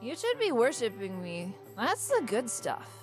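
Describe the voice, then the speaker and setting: Brash, high-voiced drunken treasure hunter. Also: female voice, wildly swooping pitch, measured pace. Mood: gruffly haughty. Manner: combative, haughty. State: drunk, as acted. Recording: music behind.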